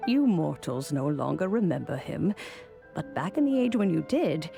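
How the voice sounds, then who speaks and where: An actress voicing a dignified, solemn ancient immortal woman who tells old tales in a stately, measured game-dialogue manner. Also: sits low, big pitch swings, creaky, warm tone, exaggerated voice. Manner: stately, measured, storytelling delivery. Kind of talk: scripted video game storytelling. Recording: music under the voice. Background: background music.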